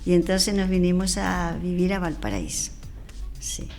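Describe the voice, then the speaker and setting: A woman's voice: lowish voice, expressive, unhurried, a little mellow. An older Chilean woman, a nun, recalling her life in a hesitant, conversational way with small repeated affirmations. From Puerto Montt, southern Chile. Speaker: guest, Carmelite nun. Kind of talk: conversational personal reminiscence. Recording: music bed under the voice.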